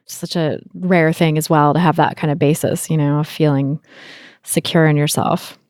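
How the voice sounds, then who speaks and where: Low-voiced woman hosting an interview. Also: moderate pace, dry recording, clean studio sound. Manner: calm and affirming. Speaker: podcast host. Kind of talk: conversational interviewing.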